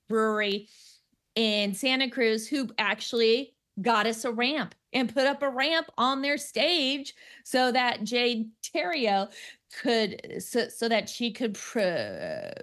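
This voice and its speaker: A woman's voice: fairly high pitch, fairly bright tone. A woman stand-up comic who stutters, talking casually in a radio interview with many restarts and easy laughter. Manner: frequent fillers and restarts, laughs easily. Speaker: stand-up comedian.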